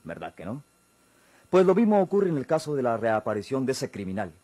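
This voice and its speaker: A Mexican actor playing a stern, dismissive police chief in a golden-age radio drama, speaking formally and with curt authority. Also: male voice, medium pitch, big pitch swings, fairly quick, mellow, no room sound. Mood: firm, dismissive.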